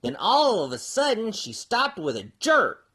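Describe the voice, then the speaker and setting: A performer as slow, swooping storybook mule. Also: mid-range voice, breathy edge, full character voice. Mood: gentle storytelling. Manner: animated, slow, sing-song delivery. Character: Muley, a cheerful folksy mule who reads books. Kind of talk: children's storybook read-aloud.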